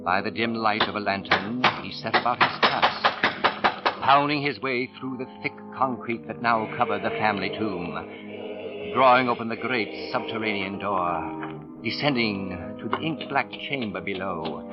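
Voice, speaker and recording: Suave male crime writer played by an actor, low and theatrical, old radio mystery with music behind. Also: muffled recording, natural voice. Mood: ominous, suspenseful. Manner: theatrical, precise, savoring pauses and hesitations. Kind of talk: 1940s radio mystery acting.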